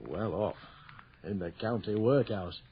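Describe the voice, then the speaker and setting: An actor playing a weathered middle-aged sergeant major home from India, hesitant and wistful, in a period radio drama. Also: male voice, lowish voice, a little slow, slight background noise, lightly acted. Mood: wistful, wry. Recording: dull lo-fi radio broadcast.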